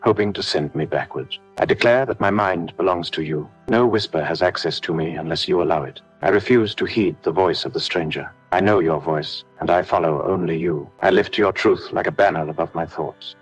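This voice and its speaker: A man's voice: low voice, brisk, few pauses, husky, low-quality recording. A man leading a scripted warfare prayer in solemn, emphatic, repeated declarations. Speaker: prayer leader. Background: faint background music.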